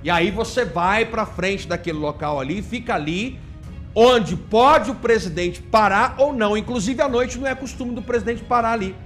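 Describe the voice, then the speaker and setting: A man's voice: high voice, normal speed, fairly bright tone, soft background music. A man with a Brazilian accent giving informal political commentary in an indignant, emphatic, mocking way. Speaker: video commentator.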